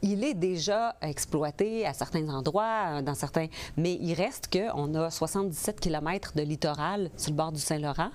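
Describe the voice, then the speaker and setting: A woman's voice: deep voice, moderate pace, few pauses, a little mellow. A woman in her forties from the Portneuf region with a Québécois accent, answering interview questions as a political candidate, earnest with frequent hesitations. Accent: Québécois French. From Portneuf region, Quebec. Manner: earnest, with many hesitations and restarts.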